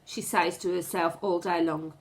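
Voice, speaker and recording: A woman's voice: lowish voice, rough recording. A woman teacher reading a storybook aloud to children in a dramatic, suspenseful way, with asides to her pupils. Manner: expressive, suspenseful, deliberate storytelling.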